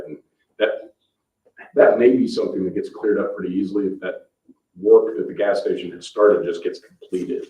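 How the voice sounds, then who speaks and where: A man's voice: sits low, dark tone. An American man chairing a public meeting, talking informally through business items in a meeting room. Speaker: commission chair running a meeting. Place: indoor town meeting room. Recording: roomy, distant mic, dull, faint hiss.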